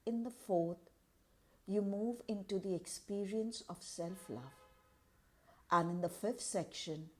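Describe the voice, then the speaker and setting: A woman leading a guided meditation in a calm, measured, deliberate way, pausing between short phrases. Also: mid-range voice.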